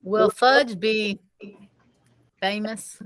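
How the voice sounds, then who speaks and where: A woman's voice: medium pitch, very slow, a bit bright. Female teacher, mid-range voice, reading children's book dialogue aloud, slightly off-mic. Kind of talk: read-aloud storybook dialogue.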